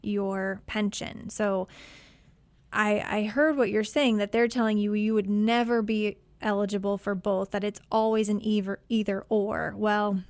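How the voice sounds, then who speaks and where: A woman's voice: mid-range voice, fairly even intonation, unhurried, dry recording. Host: woman, patient explainer, dry close studio sound. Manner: friendly, explanatory, answers questions patiently. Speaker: financial advisor host. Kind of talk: call-in advice show.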